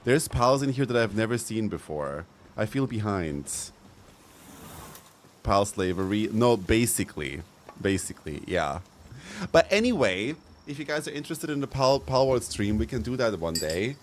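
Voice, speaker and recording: A man's voice: on the low side, big pitch swings, moderate pace, a little hiss or hum. Campy, playful young man streaming. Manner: campy, playful, laughs often, slangy. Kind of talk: casual live-stream chat over gameplay.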